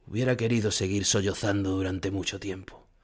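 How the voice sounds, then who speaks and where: Casting: low-voiced Spanish older bullfighter haunted by grief, solemn first-person radio drama narration. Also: male voice, fairly even intonation, brisk. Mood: grieving, haunted. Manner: solemn, emotional storytelling. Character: famous older bullfighter, grief-stricken, remembering his dead rival. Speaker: narrator playing a bullfighter recalling the past.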